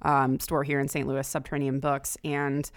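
A woman's voice: very deep voice, little pitch movement, normal speed, dry recording, studio quality. A woman chatting casually and talkatively as a guest co-host on a podcast, sprinkling her speech with "like". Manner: chatty, laughs while talking, often says "like".

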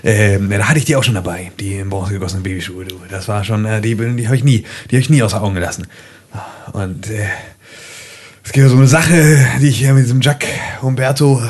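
Man playing a husky, stammering paranoid oddball. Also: on the low side, a little fast. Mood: agitated, rambling. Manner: nervous, rambling, stammering repetitions. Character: paranoid, hunted, eccentric male master dental technician.

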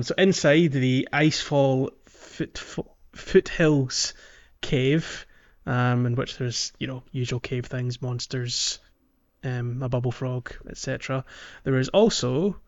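A man hosting a casual video game playalong podcast, explaining things in a tentative, hesitant way. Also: medium pitch. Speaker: podcast host. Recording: slightly dull audio, music bed. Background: background music.

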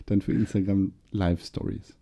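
Young guy hosting a chatty podcast, very dark mellow voice, kinda low, easygoing. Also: moderate pace, creaky, husky. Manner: relaxed, agreeable, lots of filler. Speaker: podcast host. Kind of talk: casual chat.

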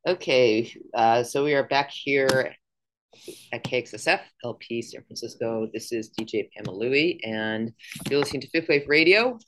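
A woman's voice: a bass voice, moderate pace, slight background noise. A woman radio DJ hosting an interview show in a studio. She talks in an easygoing, affirming way and leads the conversation.

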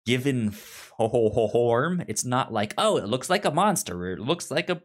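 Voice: mid-range voice, expressive, unhurried. A podcast co-host casually discussing books in a hesitant, stammering way.

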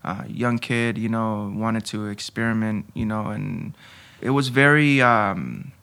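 A man's voice: on the low side, animated, a little slow, vocal fry, sharp tone. A man in his twenties from Honduras, a podcast guest speaking candidly and searchingly, restarting his sentences often. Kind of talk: conversational interview.

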